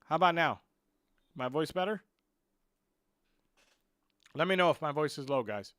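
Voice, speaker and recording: Excitable football streamer with a dry close sound. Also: male voice, on the high side, a little slow, no room sound. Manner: frustrated, excitable rants about players; muttering while fixing stream clock. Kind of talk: live stream match commentary.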